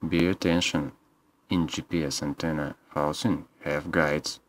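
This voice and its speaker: A man's voice: very deep voice, flat delivery, measured pace, no echo. A man narrating a scripted how-to as a repair technician, in terse step-by-step instructions.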